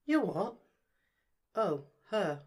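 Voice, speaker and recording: A woman's voice: medium pitch, sing-song, very slow, husky, heavily acted. A woman playing a brash, flirtatious, down-to-earth character in a comic audio drama, talking in a chummy, blunt, colloquial way.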